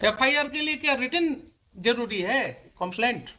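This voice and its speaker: A man's voice: extremely high pitch, expressive, normal speed. A man with an Indian accent speaking as a judge in court, stern and admonishing, asking pointed rhetorical questions and mixing Hindi with English. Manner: switches between Hindi and English. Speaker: judge presiding over a court hearing. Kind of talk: courtroom questioning and oral directions. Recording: muffled courtroom audio, faint noise, clicks.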